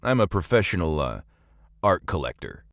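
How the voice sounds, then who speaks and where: A man's voice: sits low, sing-song, moderate pace, vocal fry, no echo, clean studio sound, full character voice. An actor with an American accent playing a world-weary thief with a conscience in an audio play, talking in a folksy, tough-guy way. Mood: confrontational. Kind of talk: audio drama acting.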